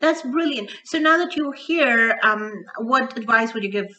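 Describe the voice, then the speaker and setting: A woman's voice: a little high, lively intonation, moderate pace, sharp tone, dull audio, a little hiss or hum. A woman hosting a radio show and podcast, asking her guest questions in an upbeat, enthusiastic conversational way. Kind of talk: conversational interview. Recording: distant from the mic.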